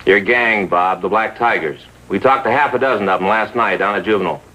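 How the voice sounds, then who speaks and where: Firm plain-spoken 50s tv officer, sharp voice, fast, noisy old soundtrack. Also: male voice, fairly low pitch. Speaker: police officer in a crime drama. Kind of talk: 1950s TV crime drama acting.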